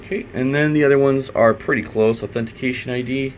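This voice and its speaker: A man's voice: mid-range voice, fast talker, a little hiss or hum. A man giving an informal, unscripted step-by-step tutorial, thinking aloud as he works. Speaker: IT installer giving a software tutorial. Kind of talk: unscripted step-by-step screen walkthrough.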